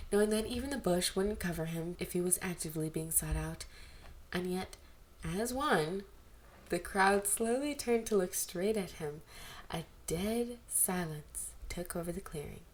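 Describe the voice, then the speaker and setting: A woman narrating fan fiction aloud in an expressive, amateur storytelling style. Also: lowish voice, moderate pace. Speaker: amateur podfic reader. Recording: amateur home recording, background noise.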